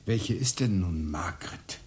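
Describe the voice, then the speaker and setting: A man's voice: low-pitched, lively intonation, takes it slowly, slight background noise. An actor playing an awkward, hesitant grown son visiting his mother in a radio play.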